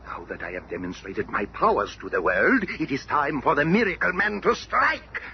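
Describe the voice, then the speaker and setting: A wildly theatrical, boastful villain magician. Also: male voice, a little high, very animated, moderate pace, gravelly. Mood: scheming. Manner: pompous, taunting, declamatory. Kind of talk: 1970s superhero radio drama acting. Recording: muffled, noisy 1970s radio drama recording.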